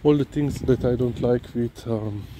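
A man talking haltingly on a dog walk outdoors, filling pauses with "you know" and "um". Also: medium pitch, mellow. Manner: halting, many fillers and restarts. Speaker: dog-walking vlogger. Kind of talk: casual walk-and-talk monologue. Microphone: camera held low, walking. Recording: outdoor noise behind the voice.

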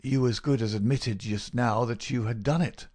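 A man voicing a gravely ill, semi-delirious character in a storybook, pleading weakly in a halting, gasping whisper. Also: medium pitch, steady tone, darkish tone, lightly acted. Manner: slow, feeble, halting pleading.

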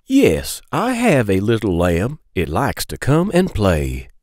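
A man in his thirties reads aloud for young children in a close, dry recording. His pitch swings widely and the phrasing is simple and clear, as a storytime host talks. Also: wildly swooping pitch, takes it slowly, a little mellow, dry recording.

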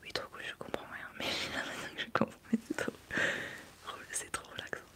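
A woman's voice: a little fast, bright, forceful tone. A young woman, whispering casually into a close desk mic during an ASMR livestream, rambling and answering chat. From France. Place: small bedroom studio indoors. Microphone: large desk mic up close.

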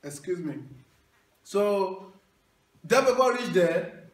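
A young Nigerian man with a Nigerian accent performing stand-up, telling stories loudly and breaking into singing and shouting. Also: high-pitched, takes it slowly. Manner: loud animated storyteller, sings and shouts punchlines. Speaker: stand-up comedian. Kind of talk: stand-up storytelling. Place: small indoor hall with wood panelling. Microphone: handheld mic, filmed from across the room. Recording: echoey room from far off.

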